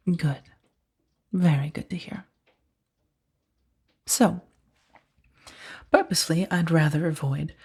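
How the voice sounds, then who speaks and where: Girl doing a lady mafia boss for asmr, lowish soft dark voice, breathy, bossy and teasing, kinda menacing. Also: full character voice. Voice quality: soft, breathy, often slipping toward whisper.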